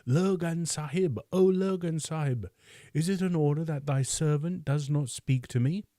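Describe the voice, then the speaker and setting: A man voicing a proud, quick-tempered teenage boy in an audiobook, speaking in indignant, boastful outbursts. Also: medium pitch, lively intonation, warm tone, no room sound, clean studio sound. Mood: demanding. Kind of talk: audiobook character voice.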